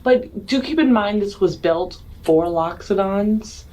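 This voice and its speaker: Very slow-talking woman in a tabletop game. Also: mid-range voice, lively intonation, warm tone, poor audio. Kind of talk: casual in-character roleplay. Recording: background noise.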